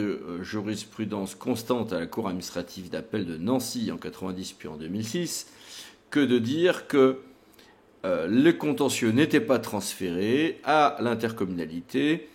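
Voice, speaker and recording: A man's voice: medium pitch, a little mellow. A man presenting a legal tutorial in a didactic, emphatic way, like a lawyer explaining case law to an audience.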